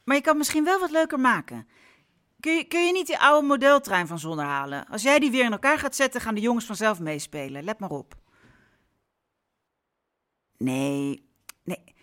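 A woman's voice: fairly high pitch, animated, normal speed, long pauses, fairly bright tone. A woman acting in a Dutch radio play as an exasperated, ironic mother of young boys, emphatic and talking in short bursts. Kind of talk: naturalistic radio drama acting.

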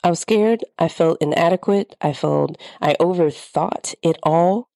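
A woman's voice: on the low side, measured pace, creaky, warm tone, dry recording. A woman podcast host with a slightly congested, nasal voice, talking candidly in a reflective personal monologue.

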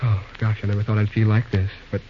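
An actor playing a glum, shyly lovesick young railroad boss in an old-time radio drama, speaking in a hesitant, folksy, husky way. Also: male voice, fairly low pitch, flat delivery, slight background noise, close to an everyday voice. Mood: shy, tender. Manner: hesitant, folksy, halting delivery. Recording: dull, lo-fi old radio recording.

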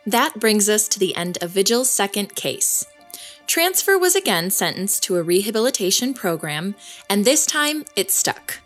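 An actress playing an investigative podcast host, delivering scripted documentary narration. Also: female voice, mid-range voice, very animated, moderate pace, fairly bright tone. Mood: composed, informative. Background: music.

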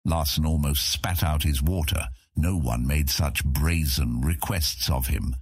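A man's voice: a bass voice, unhurried, slightly rough, dry recording. A man narrating a scripted fantasy audiobook, recorded close to the microphone.

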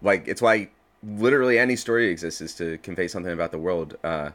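Very deep-voiced man chatting informally. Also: fairly even intonation, fast talker, fairly bright tone. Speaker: podcast creator, interview guest. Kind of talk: casual chat.

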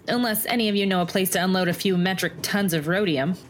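An actress playing a stubborn, quippy swashbuckling heroine in an audio drama, with a theatrical manner. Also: female voice, on the low side, quick pace, a little hiss or hum, natural voice. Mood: confident, wry.

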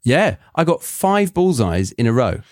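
A man's voice: medium pitch, sing-song, unhurried, no echo. A British man hosting a podcast, chatting in a laid-back way with dry wit. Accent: British English. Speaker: podcast host. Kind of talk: casual chat.

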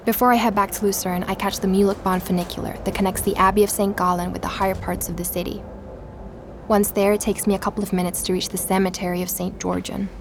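Creaky-voiced, thoughtful young woman, played by an actress. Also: medium pitch, background noise, close to an everyday voice. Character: curious young woman investigator Emma, thoughtful and intent. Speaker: lead actor and narrator of an audio drama. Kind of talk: audio drama dialogue and first-person narration.

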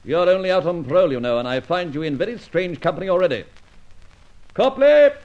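An actor playing a cool, wry gentleman adventurer in an old radio serial, speaking in a clipped, brisk and self-assured way. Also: high-pitched, animated, highs cut off. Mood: commanding bluff. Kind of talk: 1940s-50s radio adventure serial acting, first-person narration and dialogue.

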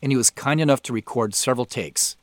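A man's voice: mid-range voice, fast talker, slight background noise. An American man giving conversational, explanatory commentary as a show creator and sound designer. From Spokane Valley, Washington, United States. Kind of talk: director's commentary narration.

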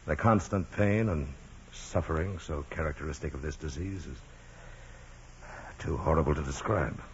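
An actor playing a grave, troubled physician speaking in measured phrases in a 1940s radio drama. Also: male voice, extremely low pitch. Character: grave, baffled male physician giving a diagnosis. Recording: muffled, noisy vintage radio recording.

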